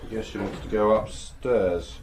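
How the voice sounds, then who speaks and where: Methodical male police doctor an actor's role, low pitch, hesitant and cautious, radio drama with faint background noise. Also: steady tone, moderate pace, darkish tone, close to an everyday voice. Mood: matter-of-fact. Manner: hesitant, thinking aloud. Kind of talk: naturalistic radio drama dialogue.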